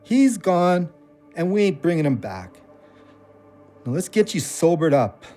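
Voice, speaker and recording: An actor playing a guarded, weary friend in an audio drama, consoling yet short-tempered and terse. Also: male voice, on the high side, big pitch swings, a little slow, dark tone, soft background music. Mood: impatient.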